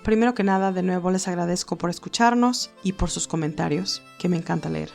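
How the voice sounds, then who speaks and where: A woman's voice: lowish voice, fairly quick, creaky, darkish tone, faint music behind. A woman narrating a fan fiction audio story and reading scripted notes to listeners as its host.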